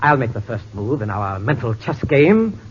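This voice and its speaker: A man's voice: mid-range voice, sing-song, moderate pace, close to an everyday voice. A man acting a cunning, domineering mad scientist with theatrical, imperious menace in 1940s radio style. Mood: gloating, menacing. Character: gloating male mad-scientist villain, cunning, domineering hypnotist. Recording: dull, noisy 1940s radio recording.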